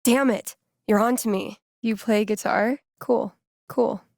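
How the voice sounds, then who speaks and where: Young woman in a video game, wry n teasing, loyal buddy vibe, bit of vocal fry, very dry studio sound. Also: fairly high pitch, fairly even intonation, measured pace, a little mellow, no echo. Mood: playful, teasing. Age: young adult. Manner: casual, wry, playful teasing. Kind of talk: naturalistic video game dialogue acting.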